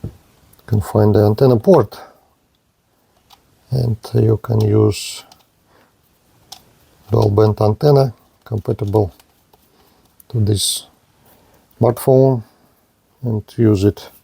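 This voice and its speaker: A man presents a gadget at a very slow pace, in short halting phrases. His voice is mellow and relaxed at a middle pitch, recorded close and dry. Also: gravelly, slightly rough, dry recording. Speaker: gadget reviewer. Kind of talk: unboxing and product review narration.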